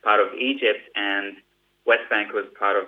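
A man from Gaza speaks as an interview guest, giving long, earnest explanations and often restarting his phrases. His voice sits a little low. The sound is thin and tinny, like it comes down a phone line. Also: steady tone, normal speed. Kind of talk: interview answer.

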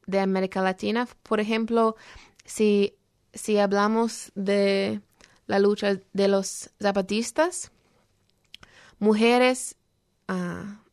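Woman, earnest, halting, searches for words, unhurried, crisp voice, dry close sound. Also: mid-range voice, no echo. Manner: earnest, explanatory. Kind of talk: program talk on social issues.

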